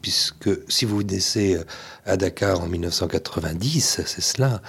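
A low-pitched male interviewer with a husky, slightly breathy voice. He builds long, ornate questions in a formal tone and fills them with hesitant pauses. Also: fairly bright tone. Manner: elaborate, formal phrasing full of hesitations. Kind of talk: radio-style literary interview.

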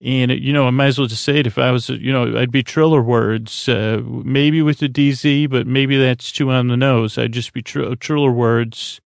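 An American man hosting a bedtime sleep podcast in a creaky, lulling tone, rambling and halting as he stumbles over his words with plenty of fillers. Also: mid-range voice, steady tone, no echo. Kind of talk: rambling bedtime monologue meant to lull listeners to sleep.

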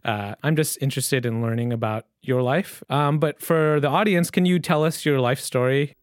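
A young man hosting a podcast, telling stories in an animated way, full of fillers and laughter. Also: medium pitch, moderate pace, no echo, studio quality. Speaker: podcast host. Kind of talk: casual podcast chat.

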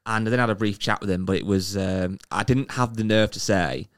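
A man's voice: on the low side, brisk, heavy background noise. A man co-hosting a casual podcast, telling an anecdote with laughter and acted-out quotes. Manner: animated anecdote-telling, acts out quoted voices, laughs often. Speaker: podcast co-host. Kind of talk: casual chat, storytelling.

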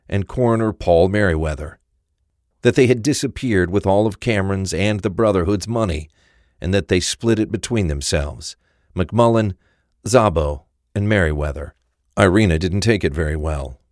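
A male narrator tells a scripted crime story. His voice is deep, and the recording is close and dry, with no room sound. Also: a little mellow, no room sound.

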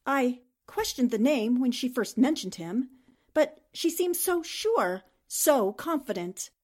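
A woman acts out a defensive countess and impostor spy in 1940s radio style. Her voice is high and swoops theatrically, with an anxious, pleading manner. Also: expressive, takes it slowly, darkish tone, exaggerated voice. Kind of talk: 1940s radio drama acting.

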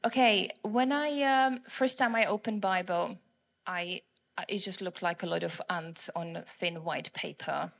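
A woman's voice: fairly low pitch, measured pace, gravelly, a bit bright. A woman from the Czech Republic with a Czech accent, nervously giving a testimony in church on a handheld mic, hesitant and giggly with many fillers. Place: church service on stage. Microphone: handheld microphone.

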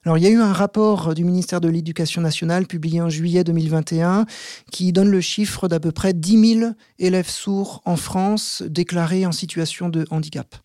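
A man who teaches maths, answering interview questions in a measured, step-by-step way with frequent hesitant pauses. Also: high-pitched, steady tone, mellow, no room sound, clean studio sound. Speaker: maths teacher, podcast guest.

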